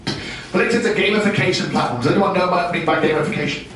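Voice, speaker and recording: A British man with a fairly high voice gives a business talk full of wry, self-deprecating jokes. He is picked up from a distance, so his voice rings through a heavily reverberant function room with faint noise underneath. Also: fast, fairly bright tone. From United Kingdom. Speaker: presenter. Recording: very echoey room, distant mic.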